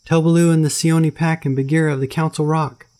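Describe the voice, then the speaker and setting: A man's voice: on the high side, level tone, fast, pitched above the performer's own voice, lightly acted. A man doing a shrieking jungle boy. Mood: shouting. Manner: shouting. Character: wild shrieking boy raised by wolves.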